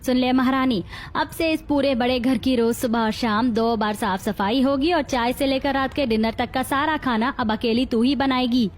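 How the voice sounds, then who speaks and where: Woman acting a cruel, high-voiced mother-in-law. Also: normal speed, slight background noise, natural voice. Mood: menacing. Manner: menacing, commanding. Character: cruel, scheming middle-aged mother-in-law, threatening and bossy. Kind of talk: dramatized moral story.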